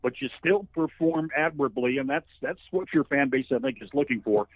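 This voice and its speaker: A man's voice: medium pitch, brisk, no echo. A man in his sixties, a radio sports announcer, talking conversationally as an interview guest in a wordy, folksy storytelling way. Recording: narrow phone-like line.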